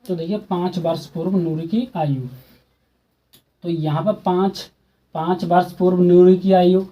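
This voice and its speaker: A man's voice: sits high, steady tone, unhurried, dull audio. A man teaching a school maths exercise, speaking casually and repeating steps while asking students questions. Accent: Hindi. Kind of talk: explaining a textbook math exercise.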